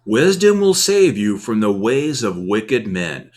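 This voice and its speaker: Slow, low-pitched man reading scripture. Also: big pitch swings, darkish tone. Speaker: scripture reader.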